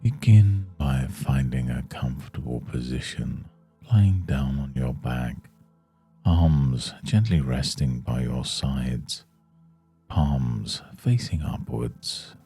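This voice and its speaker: A man guiding a yoga nidra meditation in a soothing, hushed, unhurried manner. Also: a bass voice, breathy edge, soft background music. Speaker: meditation guide.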